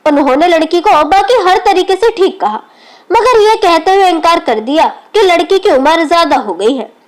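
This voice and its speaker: A woman reads a scripted, emotional moral story as its narrator. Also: very high voice.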